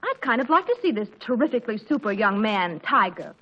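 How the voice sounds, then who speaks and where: A slightly high, husky middle-aged mother teases gently with sly, placid amusement. It is old radio comedy acting on a muffled vintage recording. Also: big pitch swings, fairly quick. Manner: placid, sly, dry humor.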